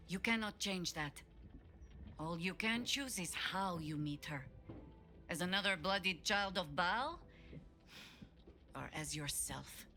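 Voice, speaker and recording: Solemn female guardian, lowish pitch, earnest, measured, video game, music in the background. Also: bright, forceful tone. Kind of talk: video game character acting.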